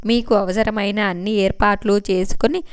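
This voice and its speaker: A woman voicing a brisk, commanding male official who gives clipped orders in an audiobook. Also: medium pitch, fairly even intonation, smooth, no room sound, studio quality, close to an everyday voice. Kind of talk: audiobook character dialogue.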